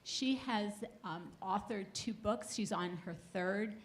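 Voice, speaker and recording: A woman's voice: medium pitch, slow. A woman hosting an assembly, giving a prepared introduction for a guest speaker. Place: school assembly. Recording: faint background noise.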